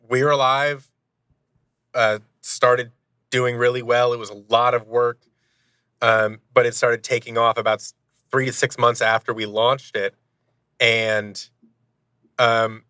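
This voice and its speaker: A man's voice: medium pitch, bright, forceful tone, clean tone, no echo. A man in his thirties chatting casually and animatedly as a podcast guest, telling stories in a self-deprecating way. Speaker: podcast guest, audio drama producer and actor. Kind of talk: casual conversational storytelling.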